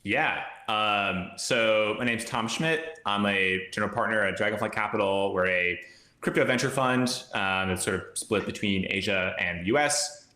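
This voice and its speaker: A man's voice: fairly low pitch, moderate pace, roomy sound. A man speaking as a guest on a podcast by video call from a room at home, chatting casually with plenty of fillers and restarts. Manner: relaxed, rambling with fillers and restarts. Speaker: podcast guest, crypto investor. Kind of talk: casual chat. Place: home room with brick wall and plant.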